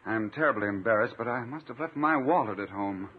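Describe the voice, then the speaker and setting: Glib freeloader, tinny old radio. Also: male voice, mid-range voice, lively intonation, a little fast, breathy edge. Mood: presumptuous. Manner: polite, glib. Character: smooth-talking, self-assured freeloading man, chummy and presumptuous. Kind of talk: 1950s radio situation comedy acting. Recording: tinny 1950s radio recording, faint hiss.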